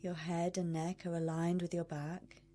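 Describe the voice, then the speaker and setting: A woman leading a guided meditation as a coach, speaking in a measured, soothing way with long pauses. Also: lowish voice, little pitch movement, dark tone, soft background music.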